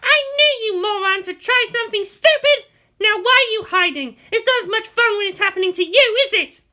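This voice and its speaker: A man voicing a fiery, bossy teenage anime girl who scolds and shouts indignantly. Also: very high-pitched, animated, brisk, voice pushed up, full character voice. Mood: mocking.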